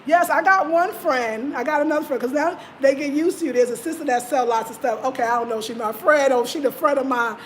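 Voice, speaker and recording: A woman's voice: high voice, fast talker, few pauses, slight background noise. An American woman hosting a live stream, chatting animatedly in slang, telling stories and reading out viewer comments. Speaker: host.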